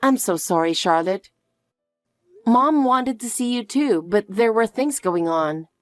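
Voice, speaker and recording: A woman playing a calm, protective mother who speaks softly and reassuringly to her daughter. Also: a little high, no echo, soft background music. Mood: soothing. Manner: soft, slow, reassuring. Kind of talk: dramatic dialogue.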